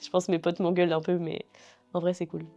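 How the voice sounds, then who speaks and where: A young woman guest talking casually in an interview, relaxed and hesitant with many fillers as she thinks aloud. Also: fairly low pitch, fast talker, soft background music. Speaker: singer-songwriter guest.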